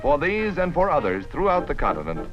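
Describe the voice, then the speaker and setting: A man's voice: fairly high pitch, wildly swooping pitch, fairly quick, highs cut off, music in the background. An American man narrating a 1950s newsreel in a polished, dramatic, declamatory style on a vintage soundtrack. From United States.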